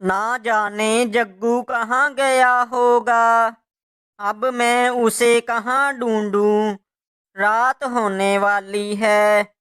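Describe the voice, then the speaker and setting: A man voicing a tired, ailing father crow in a cartoon moral story, worried and gentle with his family. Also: very high-pitched, little pitch movement, very slow, clear, resonant tone, full character voice.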